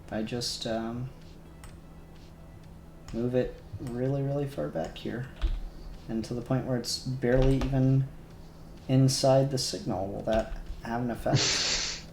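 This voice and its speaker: A man's voice: medium pitch, steady tone, measured pace, gravelly, pauses often, a little mellow, faint music behind. A man co-hosting a casual livestream, muttering to himself and trailing off as he works. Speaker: livestream co-host. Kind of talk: casual livestream chat while working. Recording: echoey room.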